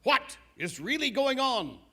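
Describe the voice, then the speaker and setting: A man's voice: extremely high pitch, sing-song, a little slow, sharp tone, exaggerated voice. A man playing an ominous TV mystery documentary narrator, dramatic and portentous, in a live audio drama.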